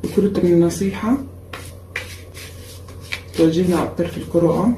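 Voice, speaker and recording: Woman tarot reader, tired voice, emphatic repeats, dark tone, faint room noise. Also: lowish voice, fairly even intonation, roomy sound. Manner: repetitive and emphatic, with frequent pauses and fillers. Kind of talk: casual tarot reading for viewers. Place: indoor room at a table with cards and a candle.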